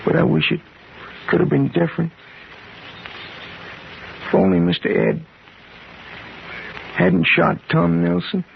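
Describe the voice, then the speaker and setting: High, creaky lonely loyal fellow, old radio. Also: male voice, sing-song, slow pace, pauses often, full character voice. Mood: mournful, confiding. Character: loyal, simple, lonely man hiding a grim secret. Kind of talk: 1950s radio drama acting. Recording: muffled, hissy old radio recording.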